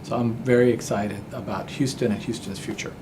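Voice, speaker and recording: A man's voice: mid-range voice, steady tone, brisk, noisy background. A man from Pakistan answering interview questions in a friendly way, often repeating words as he starts. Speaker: interview guest, business founder.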